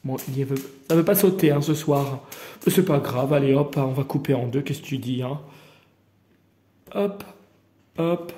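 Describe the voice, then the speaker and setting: A man's voice: medium pitch, moderate pace, pauses often, mellow, slight background noise. A man from the Paris region doing a casual, improvised card reading. He rambles in a familiar way and talks straight to his viewer. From Paris region, France. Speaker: card reader hosting daily guidance videos. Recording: roomy echoey room.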